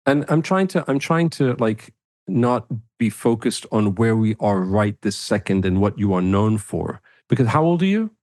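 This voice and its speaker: A man's voice: lowish voice, lively intonation, moderate pace, a little mellow, dry recording, clean studio sound. A man hosting an interview, encouraging his guest and thinking aloud as he builds his questions. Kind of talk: podcast interview.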